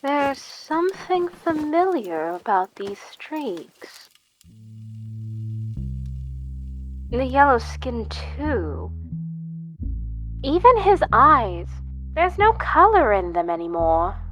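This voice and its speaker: A woman voicing a curious, unruffled female arms seller in an audio drama, theatrical and deliberate. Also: high voice, sing-song, a bit bright, soft background music, full character voice. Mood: intrigued.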